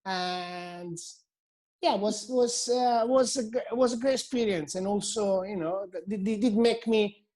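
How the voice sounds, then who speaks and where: A man's voice: high-pitched, a little slow, a little mellow. Hesitant Italian-accented man. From Italy. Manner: hesitant, many fillers and restarts. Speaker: guest hairdresser. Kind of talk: casual interview chat.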